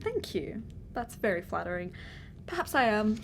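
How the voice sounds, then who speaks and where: An actress playing an enigmatic, soft-spoken woman in an audio drama, polite, coy and subtly coaxing. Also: mid-range voice, sing-song, normal speed, slight background noise. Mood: gentle, coy. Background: music.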